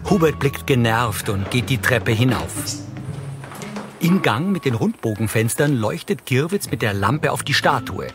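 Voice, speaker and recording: A man reading scripted audio description in a measured, matter-of-fact way. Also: medium pitch, animated. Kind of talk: scripted narration, present-tense audio description. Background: music.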